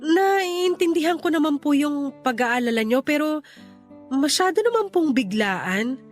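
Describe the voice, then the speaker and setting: A young expectant daughter-in-law in a radio drama. She is high-voiced, polite and tentative, over light background music. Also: lively intonation, measured pace. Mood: hesitant, uneasy. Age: young adult. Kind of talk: Tagalog radio drama acting. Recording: music bed under the voice.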